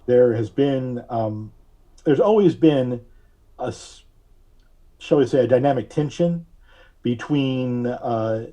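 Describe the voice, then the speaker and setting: A man giving measured, carefully worded explanations as a podcast guest. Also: mid-range voice, warm tone, lo-fi sound. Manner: measured, deliberate, precise wording. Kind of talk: explanatory interview talk.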